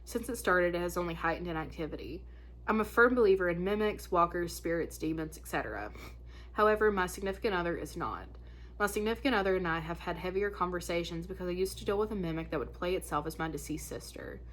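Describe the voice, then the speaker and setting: A woman's voice: fairly low pitch, fast. A woman chatting casually and reading spooky listener stories in an informal, conversational way, sounding a little stuffed up. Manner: informal, conversational, slangy asides. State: congested, stuffy nose. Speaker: host of a spooky story channel.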